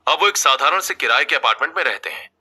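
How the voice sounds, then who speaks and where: A man's voice: mid-range voice, lively intonation, fast talker, breathy edge. A man reading a scripted family story as its narrator. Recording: music bed under the voice. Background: loud background music.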